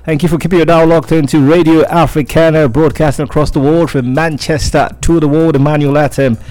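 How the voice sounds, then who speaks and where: A man's voice: on the high side, fairly even intonation, brisk, darkish tone, low-quality recording. A man hosting a radio show, chatting through entertainment news with lots of fillers and restarts.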